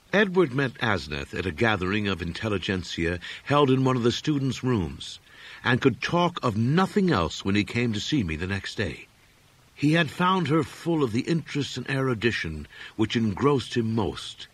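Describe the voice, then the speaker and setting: Expressive husky male storyteller, very dry recording. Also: medium pitch, no room sound. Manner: measured, formal storytelling with expressive phrasing. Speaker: audiobook narrator. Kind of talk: scripted literary narration of a gothic horror story.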